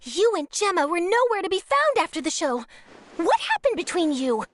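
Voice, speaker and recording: A woman playing an eager, nosy young woman reporter who fires off quick questions in a video game. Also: very high voice, very animated. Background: game music.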